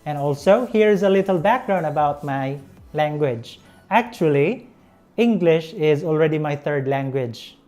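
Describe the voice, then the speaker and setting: A woman's voice: low-pitched, expressive, darkish tone, faint music behind. A young woman with a Filipino accent, a native Visayan speaker, teaching an English lesson in a careful, encouraging way on a lapel mic. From Philippines. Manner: slow, careful, encouraging delivery.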